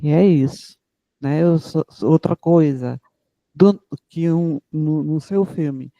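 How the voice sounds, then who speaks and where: A woman with a Cearense accent speaks slowly and haltingly, with many fillers. Her voice is gravelly, dark and set a little high. The sound is dry. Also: no room sound, highs cut off. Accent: Cearense Brazilian Portuguese. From Fortaleza, Ceará, Brazil. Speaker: audience member and researcher. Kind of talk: spontaneous remarks at a panel.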